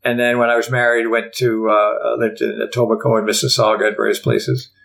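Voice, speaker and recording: An older Canadian man being interviewed, rambling and halting with frequent restarts. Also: mid-range voice, little pitch movement, gravelly, fairly bright tone. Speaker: podcast guest. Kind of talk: casual interview conversation.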